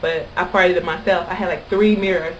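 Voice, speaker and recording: A woman's voice: lowish voice, fairly even intonation, a little fast, slight background noise, low-quality recording. A woman from Kentucky with an American accent, chatting casually and anecdotally to camera as a vlogger. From Kentucky, United States.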